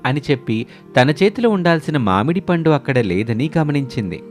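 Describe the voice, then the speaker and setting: Male storyteller over soft music. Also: on the high side, very animated, brisk. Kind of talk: scripted cartoon story narration. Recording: music under the voice.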